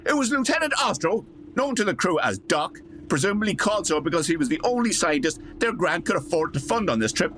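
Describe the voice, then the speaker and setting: An actor playing a wry, theatrical storyteller, delivering wordy, dramatic narration for an old-time radio serial. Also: male voice, high-pitched, sing-song, brisk, slight background noise. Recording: loud music under voice. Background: music.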